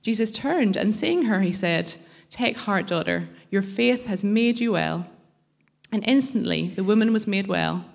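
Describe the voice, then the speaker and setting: A woman reading a scripture passage aloud in church, steady and measured. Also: mid-range voice, gravelly. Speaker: scripture reader.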